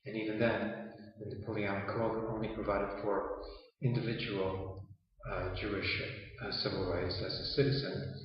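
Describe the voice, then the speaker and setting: A man whose first language is Yiddish, giving a measured interview answer with frequent hesitant pauses. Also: fairly low pitch, level tone. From Canada. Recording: roomy, distant, dull lo-fi sound.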